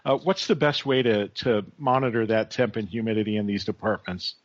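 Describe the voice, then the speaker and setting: A man's voice: on the low side, fairly even intonation, a little fast. A man interviewing someone on a podcast, putting questions conversationally. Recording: slightly dull, lo-fi audio.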